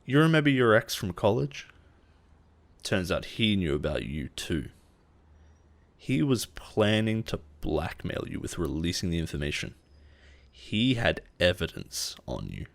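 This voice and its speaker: A man playing a possessive, protective CEO in an intimate roleplay audio drama, speaking earnestly and persuasively with deliberate dramatic pauses. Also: deep voice, very animated, gravelly, full character voice. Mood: controlled, protective.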